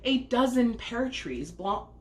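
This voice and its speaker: A woman reading a picture book aloud as a story time host, expressive and speaking to young listeners. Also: a little high, takes it slowly. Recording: echoey room with faint background noise.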